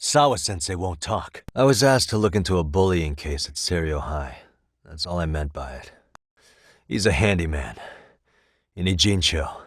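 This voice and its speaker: A man acting a determined, streetwise private detective in a video game, with an American accent and a measured, probing, bluntly persuasive way of talking. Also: deep voice, lively intonation, no echo. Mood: guarded.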